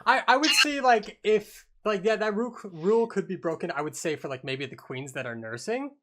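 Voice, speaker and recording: Podcaster in a book club chat, medium pitch, opinionated, kinda sweary. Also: animated, normal speed, bright, forceful tone. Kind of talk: casual group book discussion.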